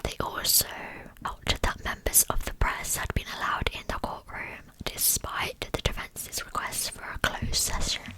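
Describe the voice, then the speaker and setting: Whispering woman narrating in ASMR style. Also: rough recording. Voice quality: unvoiced breathy whisper. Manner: hushed, measured storytelling. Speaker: ASMR true crime narrator.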